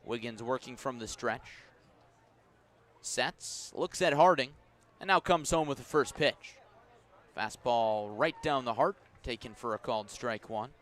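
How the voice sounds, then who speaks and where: American man calling baseball on radio. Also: a bit bright. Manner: measured narration of pitches, raising his voice on big plays. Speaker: baseball radio play-by-play announcer. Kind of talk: live sports commentary.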